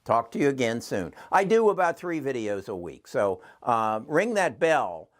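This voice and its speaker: Earnest older man hosting, animated pitch. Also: normal speed. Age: 70s. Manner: rambling, earnest, with frequent restarts. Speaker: channel host. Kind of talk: casual talk to camera.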